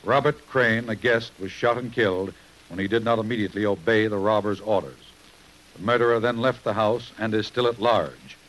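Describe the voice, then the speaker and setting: A man's voice: on the low side, normal speed, a bit bright, rough recording. A man reading a scripted crime bulletin in a formal, emphatic 1950s radio manner on a vintage broadcast recording.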